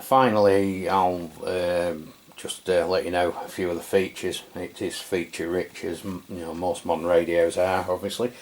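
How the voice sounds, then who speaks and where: Low-voiced man reviewing a radio. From England. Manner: relaxed and chatty, with frequent fillers and self-corrections. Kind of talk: casual product review walkthrough.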